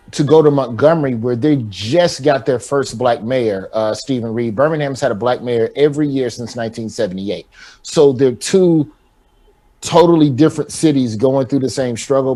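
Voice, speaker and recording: A comedian from talks at an ordinary middle pitch. He tells stories in a wry, loose way. His punchlines climb and get animated. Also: normal speed. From Alabama, US. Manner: wry, animated joke-telling with rising emphasis. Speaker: comedian guest. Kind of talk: casual chat with comic storytelling.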